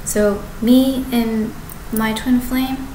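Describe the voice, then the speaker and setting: Woman in her thirties, rambling webcam monologue, stop-start phrasing, roomy echo, steady background hiss. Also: on the high side. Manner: halting, many restarts and self-corrections. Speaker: vlogger. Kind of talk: rambling direct-to-camera monologue. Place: apartment living room with kitchen behind. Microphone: webcam at desk distance. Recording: echoey room with background noise.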